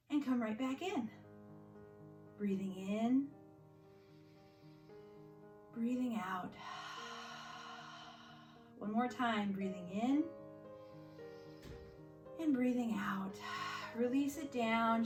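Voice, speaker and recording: A woman teaching yoga and mindfulness to children, giving gentle, reassuring step-by-step instructions. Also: on the high side. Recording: roomy echo, distant mic, music behind.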